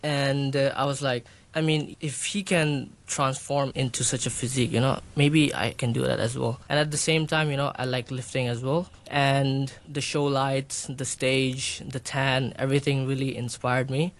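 A man's voice: medium pitch, flat delivery, moderate pace, few pauses. A man, a bodybuilder and personal trainer raised in Hong Kong, answering interview questions in a loose, rambling way with many fillers.

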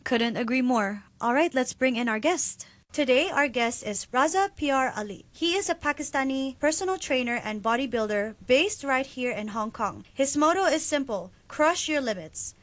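Woman co-hosting an interview, slightly high. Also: animated, moderate pace, hardly any pauses. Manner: conversational, probing questions. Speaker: podcast co-host.

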